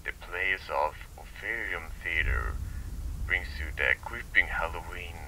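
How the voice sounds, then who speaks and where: A man voicing a formal, theatrical old-time radio announcer for a midnight horror show, in a hushed tone. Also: deep voice, takes it slowly, distant mic, a little hiss or hum, exaggerated voice, low-quality recording. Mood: ominous, theatrical.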